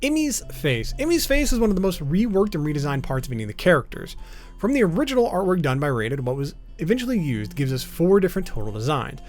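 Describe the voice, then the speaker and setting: A man's voice: a little high, very animated, fast, darkish tone, faint music behind. A man narrating an explainer video about a visual novel.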